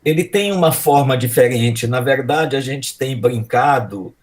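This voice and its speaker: Older Brazilian man, halting and reflective. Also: medium pitch. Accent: mixed Maranhão and São Paulo Brazilian. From Maranhão, Brazil. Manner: halting, reflective, seeks agreement with tags. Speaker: speaker, education professor. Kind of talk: semi-formal, unscripted opening remarks. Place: indoors, online video call.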